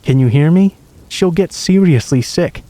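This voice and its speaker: A man's voice: on the high side, animated, unhurried, dark tone, no room sound, pitched above the performer's own voice. A man reads aloud as a frightened little girl pushing through a phone call, her voice lifted well above the reader's own. She stays urgent and insistent while faking cheer, in a dry, close recording with no room sound.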